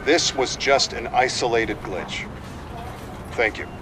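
A man's voice: mid-range voice, takes it slowly, distant mic, background noise, close to an everyday voice. An actor playing an evasive corporate spokesman in a game, giving reassuring PR answers in a TV interview. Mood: defensive, reassuring. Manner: reassuring PR delivery. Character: smooth-talking, evasive male corporate spokesman.

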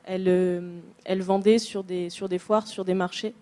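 A woman interpreting a speaker's words into French, plain and steady with brief pauses. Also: on the low side. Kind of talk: consecutive interpretation.

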